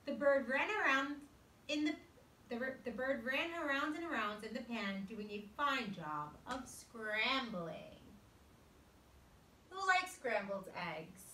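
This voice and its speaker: Animated woman reading aloud, bright and unhurried. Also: fairly high pitch. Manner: expressive, dramatic emphasis, pausing to question listeners. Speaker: teacher reading aloud to young children. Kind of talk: animated storybook read-aloud with questions to children. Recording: roomy, distant mic with faint noise.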